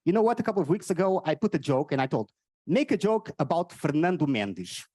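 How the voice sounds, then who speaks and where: A Portuguese man with a Portuguese accent and an odd, cartoonish voice, doing energetic stand-up and teasing the audience on stage. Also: on the high side, a little fast, dry recording, clean studio sound. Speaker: stand-up comedian. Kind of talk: live stand-up with crowd work. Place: on stage at a live event.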